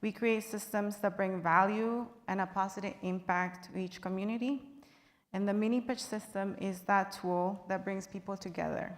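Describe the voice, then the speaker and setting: A woman from Guatemala speaking on a panel, earnest and measured with occasional fillers. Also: mid-range voice, fairly even intonation. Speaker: panelist, business partner. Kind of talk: panel remarks. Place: large convention hall. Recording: reverberant hall sound.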